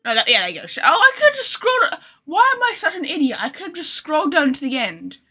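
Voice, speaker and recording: A woman's voice: high-pitched, big pitch swings, fast talker, pushed and bright. A woman chatting casually with viewers on a game livestream, thinking aloud in an informal, chatty way. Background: game music.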